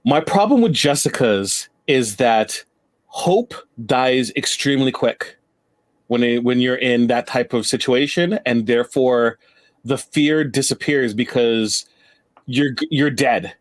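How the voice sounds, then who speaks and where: A man's voice: medium pitch, slow. Emphatic man arguing in a casual debate. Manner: emphatic, repetitive for effect. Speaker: debate show guest.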